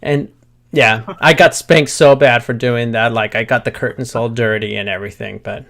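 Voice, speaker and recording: A man's voice: mid-range voice, fairly even intonation, normal speed, gravelly, fairly bright tone. A man with a slight Spanish accent, a Spanish native speaker, hosting a casual livestream chat as a chatty, giggly storyteller. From United States. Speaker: host.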